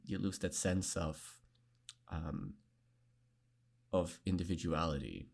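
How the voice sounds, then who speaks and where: A man's voice: low voice, very animated, slow pace, creaky, darkish tone. A man talking candidly as a podcast guest, earnest and reflective, with many fillers and frequent laughs. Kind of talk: candid conversational interview.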